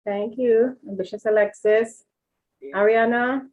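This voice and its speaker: Brisk woman co-host, fairly high voice, calls the roll. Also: steady tone, a little mellow, highs cut off. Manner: brisk, calls out names and thanks speakers. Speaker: co-host calling on speakers. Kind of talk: online group meeting.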